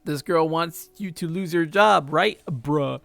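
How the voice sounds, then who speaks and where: Expressive let's play host over music. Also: medium pitch, takes it slowly, vocal fry, dry recording. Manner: slangy, expressive. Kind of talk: dramatic read-aloud of a visual novel with casual commentary.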